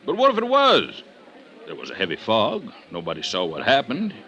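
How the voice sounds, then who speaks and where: A man's voice: on the low side, huge pitch swings, normal speed, bright, forceful tone, lo-fi sound, exaggerated voice. An actor playing a cocky, stubborn male police investigator in an old-time radio drama, talking in a sarcastic, emphatic, colloquial way. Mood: confident, insistent.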